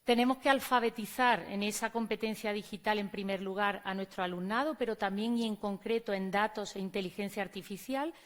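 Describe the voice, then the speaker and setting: A woman's voice: medium pitch, steady tone, brisk, hardly any pauses. A woman from Córdoba with an Andalusian accent, a teacher giving a conference talk and speaking to the audience in a conversational way. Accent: Andalusian Spanish. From Córdoba, Spain. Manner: conversational, addresses audience directly. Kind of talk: conference presentation. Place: conference venue on stage.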